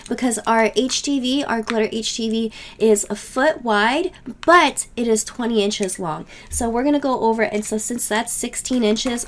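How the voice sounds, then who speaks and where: A woman's voice: on the high side, a little hiss or hum. Woman with a cold-worn voice. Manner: casual, step-by-step explaining with small fillers. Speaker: craft tutorial host. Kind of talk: screen-recorded software tutorial.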